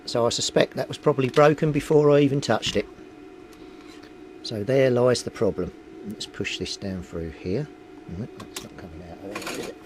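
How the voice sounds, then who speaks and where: A middle-aged man narrates hands-on repair work informally, thinking aloud as he goes. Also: moderate pace. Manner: thinks aloud while working. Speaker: hobbyist radio repairer and video maker. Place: indoor cluttered home workbench. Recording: rumbly background noise.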